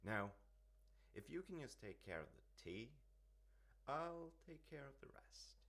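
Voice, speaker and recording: A man playing a gentle, affectionate, easygoing dad in an intimate comfort audio roleplay, speaking softly and tenderly. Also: mid-range voice, big pitch swings, a little slow, full character voice. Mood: relaxed, content.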